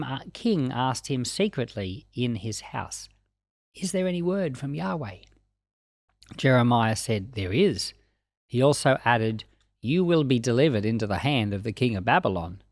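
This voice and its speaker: A man's voice: mid-range voice, dry recording, studio quality. A man reading and explaining scripture as a Bible teacher, in a plain-spoken, explanatory way. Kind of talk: scripture reading and informal commentary.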